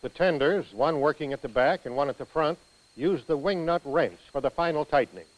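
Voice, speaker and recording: An American man reading scripted instructional narration for a training film in a precise, measured manner. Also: on the high side, rough recording.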